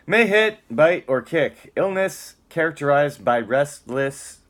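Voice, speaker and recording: A man's voice: on the high side, expressive, slow, fairly bright tone. A man giving a dramatic, theatrical spoken-word reading, moving between emphatic lines and muttered casual asides.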